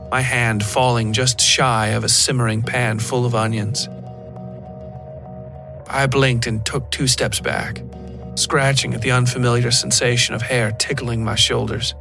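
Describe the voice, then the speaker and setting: A man's voice: mid-range voice, gravelly. Male horror storyteller over music. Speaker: narrator of a fiction podcast. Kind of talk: scripted first-person horror story narration.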